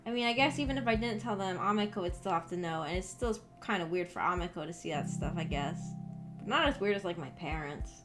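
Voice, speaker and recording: A woman's voice: lowish voice, fast. A young woman chatting casually as a VTuber on a livestream, rambling in a giggly, hesitant way. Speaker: VTuber streamer. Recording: background music behind voice. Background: music.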